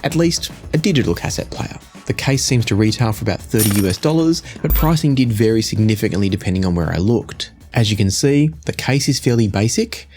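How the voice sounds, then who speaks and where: A man in his forties giving a measured, conversational product review to camera, explaining things as he goes. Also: lowish voice, animated, darkish tone, faint music behind. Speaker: audio gear reviewer. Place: small indoor home studio room.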